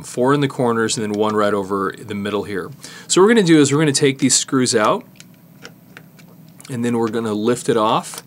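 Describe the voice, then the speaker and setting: A middle-aged man giving a casual hands-on tutorial, explaining in a halting way as he works with his hands. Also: mid-range voice. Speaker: presenter demonstrating cassette disassembly.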